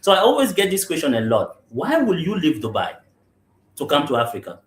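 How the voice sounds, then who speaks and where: A man's voice: on the high side, wildly swooping pitch, brisk. A man from Cameroon, a real estate general manager, answering interview questions, often checking "you understand?" and restarting his sentences. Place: remote call into a live podcast. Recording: roomy echo.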